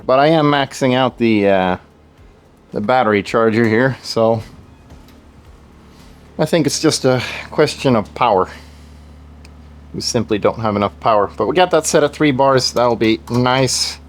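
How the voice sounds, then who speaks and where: A man's voice: medium pitch, measured pace, long pauses. A man from Sweden, a native Swedish speaker, chatting casually to viewers while he works on a car project in his garage and thinks aloud. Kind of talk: casual garage work commentary. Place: home garage.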